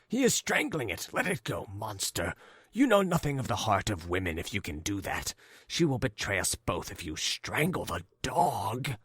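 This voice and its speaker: A man reading an audiobook, voicing a scornful young man who speaks in hushed, urgent tones. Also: fairly low pitch, huge pitch swings, moderate pace, slightly rough, dry recording, studio quality, full character voice. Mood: tense. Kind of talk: audiobook character voice.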